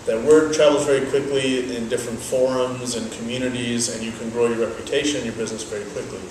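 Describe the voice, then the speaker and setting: A young man whose first language is Dutch, giving measured, explanatory answers in an interview. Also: medium pitch, steady tone, background noise. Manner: measured, explanatory, thoughtful pauses. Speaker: interviewee, business owner. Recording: very echoey, from a distance, faint ambience.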